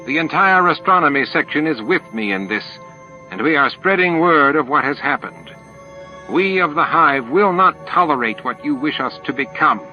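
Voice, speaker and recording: A man's voice: on the high side, animated, moderate pace, voice pushed up, close to an everyday voice. A man voicing a defiant, righteous female alien rebel with a snout, firm and accusing, in an audiobook. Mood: righteous anger. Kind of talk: audiobook character acting. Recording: dull lo-fi tape with music.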